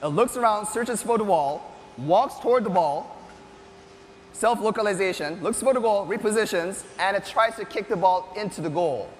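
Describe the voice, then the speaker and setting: A man's voice: high-pitched, moderate pace, slight background noise. An enthusiastic man in his thirties giving a live keynote tech demonstration as a presenter on a large stage. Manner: enthusiastic, animated showman. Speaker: presenter, robotics researcher. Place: large conference stage, indoors. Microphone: lapel mic. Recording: echoey hall with long reverb.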